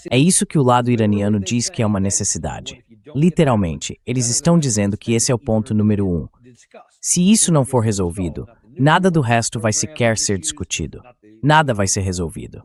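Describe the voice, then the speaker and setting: A man's voice: lowish voice, very animated, brisk, darkish tone. A man with a Brazilian accent reading a translated voice-over of political news commentary as the show's host.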